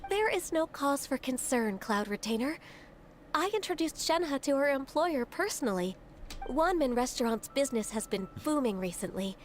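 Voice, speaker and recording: A soft polite young secretary type for a game, high voice, careful and sincere. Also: female voice, lively intonation, normal speed, a little hiss or hum. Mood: reassuring. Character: gentle, earnest, dutiful young secretary woman.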